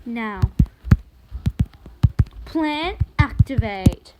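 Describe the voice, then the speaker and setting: Very high-pitched, excitable young female gamer, noisy recording. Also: extremely slow. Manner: excitable, giggly, dramatic mock-serious; talks while eating and sucking ice. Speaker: gaming video host. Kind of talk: casual playful gameplay commentary with roleplay.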